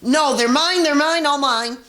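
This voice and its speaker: A woman reading a children's storybook, voicing a grouchy, bossy little ladybug who shouts and makes demands. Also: high voice, a little slow, pitched above the performer's own voice. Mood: angry, greedy. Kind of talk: children's storybook read-aloud.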